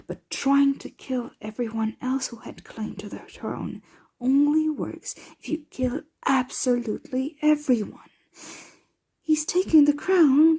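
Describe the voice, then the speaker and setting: A woman playing a slow, breathy, aristocratic vampire mistress. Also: a little high, mellow, exaggerated voice. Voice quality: breathy, often dropping to a whisper. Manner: slow, measured, formal diction. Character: protective, aristocratic female vampire mistress, anxious and doting. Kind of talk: ASMR roleplay.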